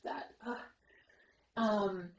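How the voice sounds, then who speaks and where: A woman's voice: mid-range voice, very slow, lo-fi sound. Rambling reflective woman, echoey room. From Romania. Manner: rambling, reflective, many fillers and restarts. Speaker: podcast host. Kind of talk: casual conversation.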